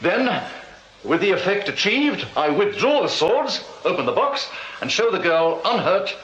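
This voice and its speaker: A showy, proud Victorian magician, with a highish and very animated voice. He is florid and wry, in radio drama style. The sound is roomy and slightly muffled. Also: measured pace, exaggerated voice. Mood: showy, explaining. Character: theatrical, proud Victorian stage magician held in prison. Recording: dull, roomy, noisy radio drama copy.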